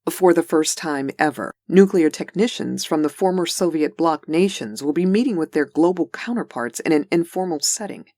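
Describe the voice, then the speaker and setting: A woman plays a composed TV newsreader in a comic dub. The low voice reads formally and evenly, recorded close and dry. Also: lively intonation, normal speed, few pauses, darkish tone, no room sound. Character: composed female television news anchor.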